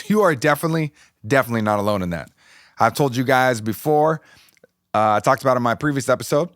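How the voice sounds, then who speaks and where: A middle-aged American man with a slightly raspy voice hosting a motivational podcast, speaking informally and encouragingly, repeating phrases for emphasis. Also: medium pitch, dry recording, studio quality. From United States, California. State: slightly raspy voice from a passing illness. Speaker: podcast host.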